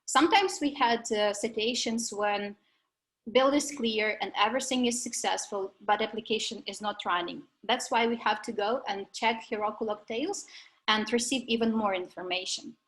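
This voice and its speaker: A woman's voice: fairly high pitch, normal speed, fairly bright tone, rough recording. A young woman from Ukraine, giving a methodical, step-by-step technical presentation at a coding school graduation.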